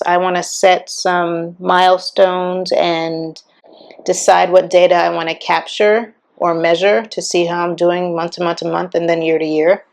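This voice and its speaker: Woman vlogger, bit raspy n on the low side, just chatting candidly about her life. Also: a little mellow. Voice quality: slightly raspy. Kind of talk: casual personal vlog narration.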